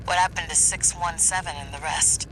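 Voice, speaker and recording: An actor playing a wry, familiar old associate in a video game, talking as if to someone known for years. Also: male voice, fairly high pitch, fairly even intonation, moderate pace, noisy background, lightly acted.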